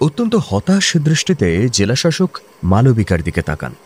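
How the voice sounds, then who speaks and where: An actor playing the narrator of a comic radio drama, telling the office story in scripted style. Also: male voice, medium pitch, huge pitch swings, normal speed, darkish tone, husky. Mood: storytelling.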